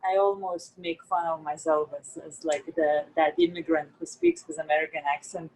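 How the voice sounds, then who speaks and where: A woman in her thirties from Lithuania, chatting casually on a call from an office, animated and full of "like". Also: low-pitched, moderate pace, a little hiss or hum, low-quality recording. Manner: animated, laughing often, lots of "like". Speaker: artist in a collaboration. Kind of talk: casual video-call chat. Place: office in Manhattan.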